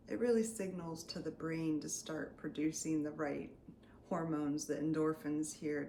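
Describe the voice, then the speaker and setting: A woman leading a guided meditation as a Reiki healer, soothing and unscripted, often drifting into breathy near-whispers. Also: lowish voice, warm tone. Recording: roomy echo, faint background noise.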